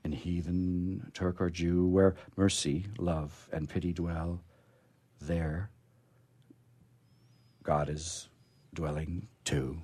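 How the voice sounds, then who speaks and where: A man with a very low voice presents poetry on a radio program. His verse reading is measured and solemn, and his commentary around it is informal and conversational. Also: little pitch movement, mellow, slightly rough. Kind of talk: poetry recitation with casual commentary.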